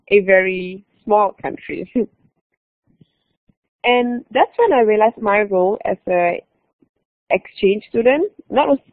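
A woman's voice: mid-range voice, no room sound. A young woman from Malaysia giving a casual online presentation about her exchange year, often saying "like" and restarting phrases. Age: young adult. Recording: tinny phone-like online call audio.

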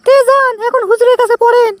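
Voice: very high voice, little pitch movement, quick pace, no room sound, voice pushed up. A performer voicing an impatient, nagging young wife with a Bogura Bangladeshi accent in a comic cartoon, speaking curtly.

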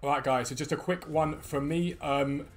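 A man in his thirties with a slightly croaky voice, chatting quickly and eagerly to viewers as a gaming YouTuber, recorded at a desk in a room at home. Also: fairly high pitch, fairly even intonation. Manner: rapid, chatty, enthusiastic. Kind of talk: energetic casual chat to viewers.

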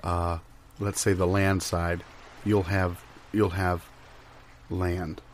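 A man playing an earnest, long-winded salesman in an improvised comedy sketch, deadpan and meandering, with many pauses. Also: low-pitched. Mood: explanatory, deadpan. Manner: deadpan, meandering, pausing with ums.

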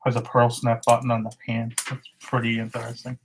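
A man in his thirties casually showing his thrift finds at home, in a relaxed, matter-of-fact way. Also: mid-range voice, a little fast, background noise. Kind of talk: casual haul vlog. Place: living room at home. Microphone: webcam at desk.

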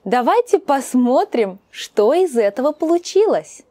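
Slow, lively young woman teaching. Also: sits high, no echo. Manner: lively, upbeat, carefully articulated. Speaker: host and Russian teacher. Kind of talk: slow, clear teaching talk to camera. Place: outdoor garden.

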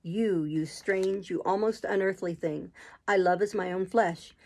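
A woman voicing a brooding, imperious gentleman in love, speaking in urgent, commanding declarations for a storybook. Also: high-pitched, unhurried. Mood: pleading, tormented.

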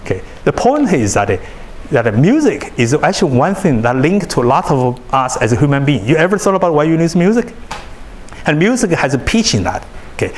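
A man whose native language is Chinese, giving a university lecture in a large hall, animated and often repeating words as he restarts sentences. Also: fairly high pitch. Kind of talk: classroom lecture.

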